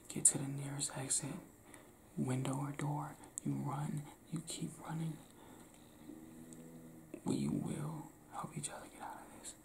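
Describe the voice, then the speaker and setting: A young man from Cleveland doing an intimate ASMR roleplay, talking softly and often whispering, recorded close in a small indoor room. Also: a little high. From Cleveland, Ohio.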